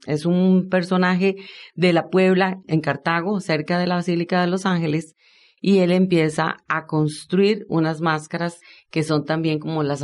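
A woman with a Costa Rican accent, a historian being interviewed, explaining informally and didactically with frequent tag questions. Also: low-pitched. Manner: didactic, often checks understanding with tag questions.

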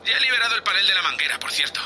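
A man's voice: high voice, big pitch swings, rapid-fire, bright, forceful tone, a little hiss or hum, heavily acted. A man playing a nervous, hapless young spaceship crewman in an audio drama, stammering and hesitant. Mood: jittery. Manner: stammering, hesitant, breathy sighs.

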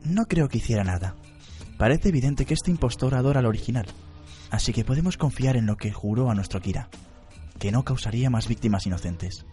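Casting: methodical male detective, acted by a man, brisk delivery, mellow tone, radio play. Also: mid-range voice, lively intonation, faint music behind, lightly acted. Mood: analytical. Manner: methodical, blunt. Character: analytical, unflappable male detective briefing his team. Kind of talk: radio drama acting.

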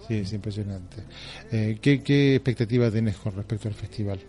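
Male radio host, Buenos Aires accent. Low voice, casual conversational delivery, quick to agree and interject. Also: warm tone. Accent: Argentine, Buenos Aires. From Argentina. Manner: agreeable, interjects often, repeats confirmations.

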